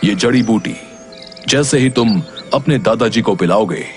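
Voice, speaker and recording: A benevolent cartoon angel voiced by a man who grants wishes. He speaks calmly and reassuringly in a slightly low voice over clear music. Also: moderate pace, natural voice.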